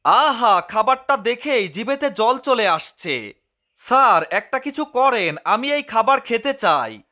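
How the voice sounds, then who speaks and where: A man's voice: very high-pitched, bright, forceful tone, full character voice. A man voicing a whiny, melodramatic, hungry male cat in a cartoon dub. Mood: greedy, excited. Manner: melodramatic, exclaiming.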